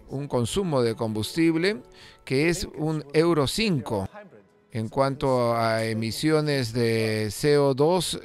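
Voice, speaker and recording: A man narrating a car video as host in a relaxed, conversational voice-over, with frequent fillers and restarts. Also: mid-range voice. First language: Spanish; lived in England over sixteen years. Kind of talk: unscripted voice-over commentary.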